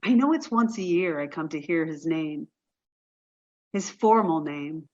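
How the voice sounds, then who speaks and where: A woman acting in an audio play as a wistful, fragile woman in mourning, speaking haltingly and from the heart. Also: low-pitched, lively intonation, muffled recording. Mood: fragile, pleading.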